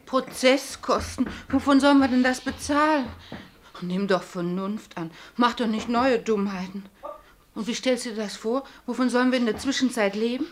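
A woman's voice: a little high, normal speed, a little hiss or hum, natural voice. A woman playing a worried, practical working man's wife in a German radio play, earnest, urgent and pleading.

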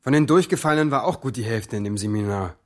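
A man's voice: mid-range voice, expressive, fast talker, over loud music, close to an everyday voice. An actor playing a brash young male hacker with a cocky, colloquial manner in a radio play. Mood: focused, excited. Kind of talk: naturalistic radio play acting.

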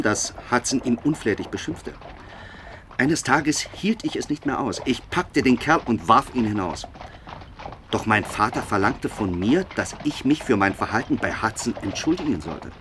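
An actor playing a distraught, bitter young gentleman in a radio drama, speaking urgently and with grievance. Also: mid-range voice, animated, moderate pace, lightly acted. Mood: bitter, aggrieved. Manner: urgent, aggrieved. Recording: clear background ambience noise.

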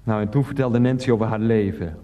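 Actor as mellow, awkwardly earnest husband. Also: male voice, fairly low pitch, lo-fi sound, natural voice. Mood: awkward, sincere. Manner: halting, sincere, rambling. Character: earnest, awkward, clueless husband making video confession. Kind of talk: radio play monologue.

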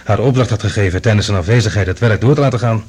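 Fast-talking, husky, urgent man. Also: lowish voice, darkish tone. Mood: grave, tense. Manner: urgent, earnest, dramatic. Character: agitated urgent man, colleague bringing alarming news. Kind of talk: science fiction radio play acting.

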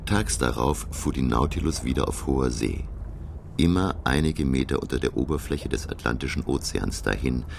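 An actor playing a thoughtful professor who narrates his sea voyage in a radio drama, precise and grave, with a gravelly voice. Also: very deep voice, lively intonation, slight background noise, full character voice. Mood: reflective, wondering. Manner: precise, grave, deliberate storytelling.

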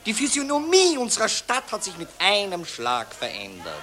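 A man's voice: high-pitched, wildly swooping pitch, normal speed. An actor playing a pompous, glib male journalist in a radio play. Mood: smug. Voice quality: pompous, declamatory. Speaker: newspaper reporter. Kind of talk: radio drama acting.

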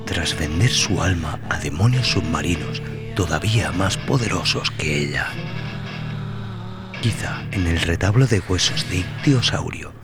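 A man narrating dramatic scripted horror as a podcast host, brooding, theatrical and deliberate in his delivery. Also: sits low, expressive. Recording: loud music under the voice.

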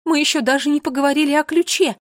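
Queen of a tiny storybook people: imperious, theatrical, quick to grumble, dry sound played by a woman. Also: high voice, fairly even intonation, no room sound, voice pushed up, close to an everyday voice. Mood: insistent. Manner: commanding, dramatic.

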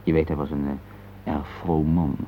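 A man's voice: rumbling low, flat delivery, moderate pace. An actor playing a reflective, tender middle-aged husband in a radio play, hesitant and searching for words as he speaks. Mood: tender, reminiscing. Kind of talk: intimate radio play dialogue. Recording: muffled phone-like old radio recording, hissy.

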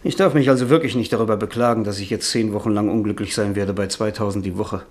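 An actor in a German radio play, playing a weary, irritable middle-aged writer with a sardonic, self-pitying manner. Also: male voice, fairly low pitch, fast talker, a little mellow, natural voice. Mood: weary, gloomy.

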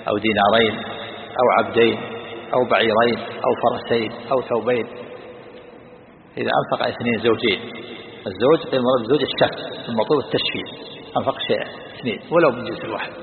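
A man's voice: mid-range voice, vocal fry, slight background noise. Older man teaching in an echoey hall. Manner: repeats and rephrases points while explaining. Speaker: religious scholar teaching hadith. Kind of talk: explanatory religious lesson. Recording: tinny lo-fi line in an echoey hall.

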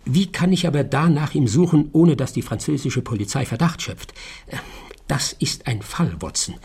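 An actor playing a brilliant, intense detective in a German radio drama, brooding and commanding, with a raspy voice and deliberate, questioning delivery. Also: male voice, fairly high pitch, dark tone, exaggerated voice. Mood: grave, pondering. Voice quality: raspy, gravelly.

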